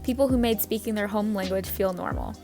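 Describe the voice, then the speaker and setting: A young woman student narrating a script in a steady voice. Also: mid-range voice, a little fast, over music. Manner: steady, read aloud.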